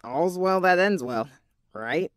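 A man's voice: high-pitched, expressive, takes it slowly, no room sound, clean studio sound. A young man playing an earnest, devoted teenage boy in a cartoon comic dub. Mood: relieved. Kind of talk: fan comic dub voice acting.

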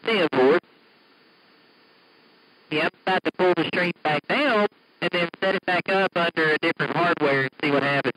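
A man's voice: a little high, normal speed, pauses often. A man chatting casually as a CB radio operator on a live stream. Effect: CB radio transmission. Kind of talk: casual two-way radio chat. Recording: noisy CB radio stream with static.

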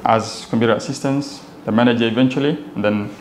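A man's voice: mid-range voice, fairly even intonation, fast talker, darkish tone. A young Somali man with a Somali accent recounts his own experiences as an interview guest. His delivery is casual and conversational, and the room adds noticeable echo with faint noise behind him. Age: young adult. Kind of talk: casual personal storytelling in an interview. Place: indoor office lounge with glass walls.

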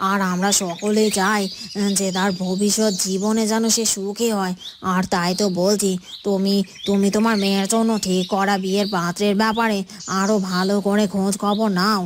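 Lady doing worried village neighbour in a cartoon, hesitant n earnest, mid pitch, noisy recording. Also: level tone, moderate pace, lightly acted. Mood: earnest concern. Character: concerned, caring village neighbour woman.

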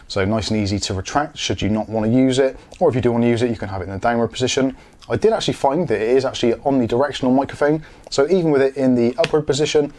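A man's voice: mid-range voice, darkish tone. A British man in his forties giving a chatty, explanatory product review in a casual presenter style. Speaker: host of a product review channel. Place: small home studio room, indoors.

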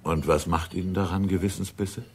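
An actor playing a composed, probing intelligence chief in a radio play, speaking in brief questions. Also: male voice, sits low, steady tone, brisk. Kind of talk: German radio play acting. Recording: faint noise on old radio recording.

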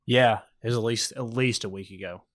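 A man's voice: medium pitch, fairly even intonation, fairly quick. A man in his twenties talking casually as a podcast co-host, debating in a dry, joking way on a close microphone. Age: 20s. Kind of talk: casual chat, friendly debate. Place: small room indoors. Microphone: podcast microphone on a stand, with headphones.

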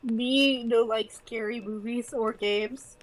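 A woman's voice: on the high side, steady tone, slow, crowd noise, low-quality recording. A congested woman playing a horror game with a friend, chatty and a little nervous, asking lots of questions. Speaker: co-op gaming partner. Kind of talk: casual gameplay chat.

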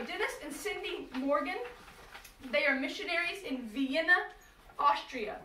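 Bright high-voiced woman teaching kids. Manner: lively, upbeat, talks to kids. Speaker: children's music and Sunday school teacher. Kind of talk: teaching children. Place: classroom. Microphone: camera across the room. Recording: roomy, distant classroom sound with faint noise.

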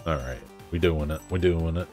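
Young male streamer, laid-back and profane, stuffy-nosed, deep, faint music underneath. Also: normal speed, darkish tone. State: congested, bunged up. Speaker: game streamer. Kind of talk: casual live-stream chat.

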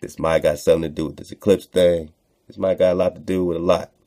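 Very deep, gravelly man commenting casually. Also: level tone, quick pace, warm tone. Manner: slangy, conversational, repeats phrases for emphasis. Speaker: host of an online radio show. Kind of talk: casual commentary while reading aloud from a text.

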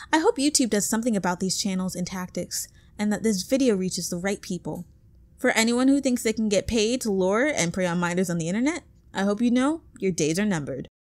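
A woman co-host reading a scripted video essay in an outspoken way. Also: medium pitch. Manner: outspoken, swears. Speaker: co-host of a channel. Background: background music.

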